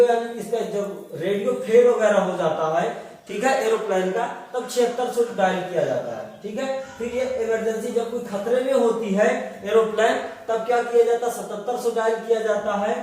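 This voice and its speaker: A man's voice: high voice, normal speed, darkish tone. A man teaching a class, explaining step by step and asking and answering his own questions. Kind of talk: classroom lecture. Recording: distant, very echoey room.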